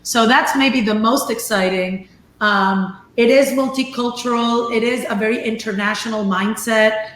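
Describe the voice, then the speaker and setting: A woman's voice: a little high, fairly even intonation, a little slow, fairly bright tone, reverberant, a little hiss or hum. A woman from Venezuela speaking as an investor on a panel, in a thoughtful, articulate way. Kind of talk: panel discussion.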